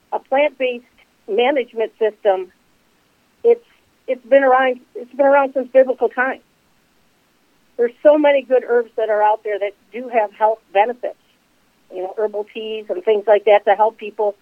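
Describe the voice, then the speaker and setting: A woman's voice: fairly high pitch, fairly even intonation, normal speed, dry recording. A woman in her sixties speaking as a company co-founder, talking earnestly in a rambling way with many fillers. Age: 60s. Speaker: CBD company co-founder guest. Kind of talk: casual interview talk. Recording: dry tinny phone line.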